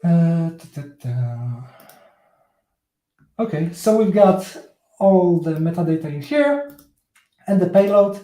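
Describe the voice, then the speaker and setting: A man with a Polish accent, a native Polish speaker, gives a live coding talk that is halting and full of fillers and restarts. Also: a little high, lively intonation, mellow, reverberant. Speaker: tech presenter.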